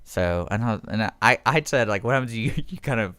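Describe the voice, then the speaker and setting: A man's voice: lowish voice, animated, brisk, a bit bright, clear, resonant tone, no room sound. A man co-hosting a radio show, joking and teasing in a casual way. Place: radio studio.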